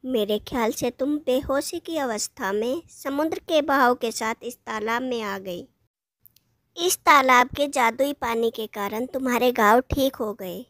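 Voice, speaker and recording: Woman playing a young storybook fairy, high voice, unhurried, earnest, helpful, dry sound. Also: fairly bright tone, no echo. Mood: concerned. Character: young female forest fairy, concerned and helpful.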